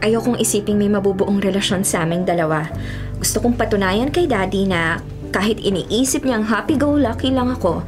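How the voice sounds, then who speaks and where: An actress playing a feisty, expressive young Filipino college woman, a mayor's bratty daughter, in a radio drama. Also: mid-range voice, natural voice. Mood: earnest. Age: young adult. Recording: loud music under the voice.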